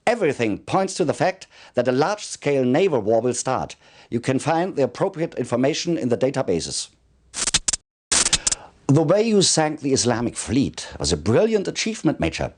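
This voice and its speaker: An actor playing a formal military commander, giving measured, deliberate mission briefings in a video game cutscene. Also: male voice, medium pitch, sing-song, darkish tone. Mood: businesslike.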